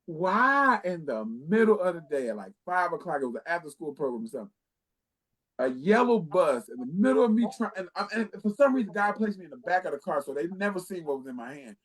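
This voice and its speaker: A man's voice: on the high side, big pitch swings, brisk, a bit bright, low-quality recording. An American man in his late thirties, a talk show guest telling personal stories in an emphatic, repetitive way and acting out what people said. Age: late 30s. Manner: emphatic, repeats phrases, acts out quoted speech. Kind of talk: animated personal storytelling.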